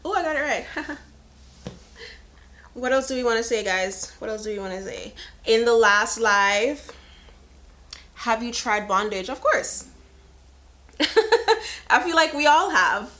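A woman's voice: on the high side, animated, a little slow, long pauses, bright, forceful tone. A woman in her thirties hosting a casual live podcast, chatting frankly and laughing often. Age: 30s. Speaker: podcast host. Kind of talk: casual live-stream chat.

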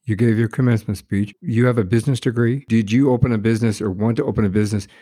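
Mellow low-voiced male interviewer. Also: steady tone, fast talker. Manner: encouraging, asks follow-up questions. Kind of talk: interview questions.

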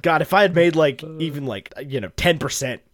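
A man's voice: fairly high pitch, expressive, brisk. An American man co-hosting a show, chatting casually and telling anecdotes in a colloquial, rambling way. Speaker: show co-host.

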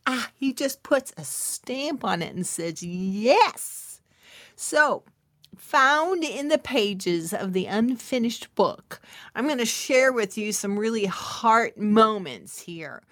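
Casting: middle-aged female inspirational speaker, unhurried delivery, emphatic testimonial style with intimate asides, dry close sound. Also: medium pitch, no echo. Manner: emphatic and testimonial, repeating phrases for weight; intimate, chuckling asides to the listener. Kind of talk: devotional talk addressed to listeners.

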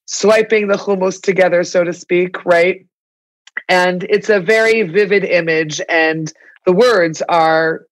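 A woman's voice: fairly low pitch, rough recording. A woman with a New York accent hosting a podcast, asking wordy, conversational questions that she rephrases as she goes. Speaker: podcast host, interviewer. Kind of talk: conversational interview.

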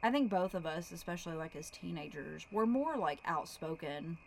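A young woman with a Texan accent co-hosting a podcast, speaking in a reflective, measured way. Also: fairly low pitch, animated, creaky, slight background noise, poor audio. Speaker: podcast co-host. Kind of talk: casual chat.

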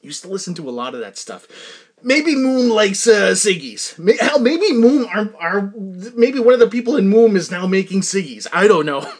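A middle-aged man hosting a podcast in a rambling, casual monologue, animated, often interrupting himself and repeating words for emphasis. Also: high voice, moderate pace, husky. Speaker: podcast host.

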